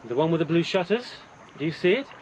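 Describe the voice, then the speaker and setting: A man's voice: on the high side, sing-song, fast talker, background noise. An actor playing a wary, matter-of-fact boatman in a radio drama. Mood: guarded. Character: wary Cairo boatman, adult man.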